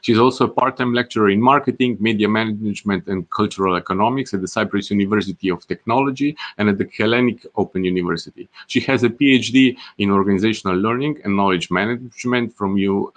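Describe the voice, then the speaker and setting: Male webinar host, low voice, halting delivery full of fillers, introducing an online course. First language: not Greek. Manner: hesitant, many "uh" fillers and restarts. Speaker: webinar moderator.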